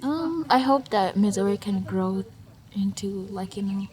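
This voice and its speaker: A woman's voice: mid-range voice, lively intonation, measured pace, darkish tone. A young woman from Africa giving a casual interview, hesitant and full of fillers, often trailing off to a near-whisper. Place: outdoor festival.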